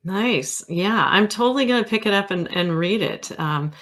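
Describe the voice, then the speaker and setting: A woman's voice: mid-range voice, lively intonation, normal speed, fairly bright tone. A woman hosting an interview show in a casual, playful, informal way. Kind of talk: casual chat.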